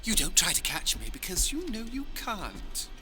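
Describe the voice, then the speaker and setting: An actor playing a gleeful, taunting messenger god in a video game, mocking in a theatrical, singsong jeer. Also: male voice, sits high, wildly swooping pitch. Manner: mocking, singsong, drawn-out jeering. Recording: long reverb, music behind. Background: music.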